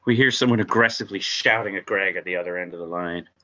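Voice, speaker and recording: Male narrator for stage directions, bright and forceful yet lowish, captured on a muffled lo-fi mic. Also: quick pace. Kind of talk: scripted narration at a table read.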